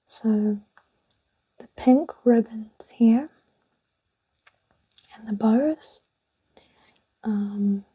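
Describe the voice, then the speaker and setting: A woman's voice: fairly high pitch, very slow, long pauses, mellow, slightly rough, no echo. A young woman whispering in a rambling, chatty way for an ASMR video, close to the mic. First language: English. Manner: rambling and chatty, with little laughs. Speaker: ASMR channel host.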